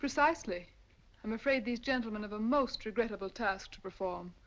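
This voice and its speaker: A woman's voice: a little high, brisk, close to an everyday voice. A woman playing a silky, cunning villainess. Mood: scheming. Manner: silky, polished politeness. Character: cunning, poised female villain, gracious and cold. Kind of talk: 1940s radio acting. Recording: dull old radio audio.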